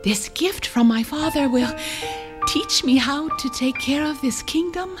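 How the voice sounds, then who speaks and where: A woman voicing a humble, thoughtful youngest princess in a storybook tale, sincere and deliberate. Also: high-pitched, over music, pitched above the performer's own voice. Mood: wondering.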